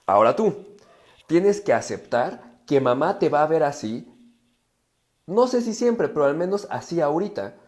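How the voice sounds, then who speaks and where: A man with a Mexican accent speaks in a soft-edged, mellow timbre, pitched a little high for a male voice. He talks informally to a live audience online, explaining ideas about relationships with emphasis and slipping into imagined inner dialogue. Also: sing-song, moderate pace. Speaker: livestream speaker on relationships and psychology. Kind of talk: informal live self-help talk to viewers.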